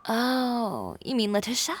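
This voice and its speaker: A woman's voice: fairly high pitch, sing-song, a little slow, no echo. A woman playing a confident, driven young fashion designer in a video game, speaking with poise, with game music behind her. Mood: reflective, wry. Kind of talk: scripted video game voice acting.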